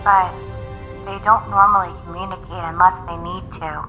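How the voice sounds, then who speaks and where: A woman's voice: lowish voice, fairly even intonation, moderate pace, muffled recording, faint music behind, lightly acted. A woman acting a grave, commanding female warrior leader in an audio drama. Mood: serious, pensive.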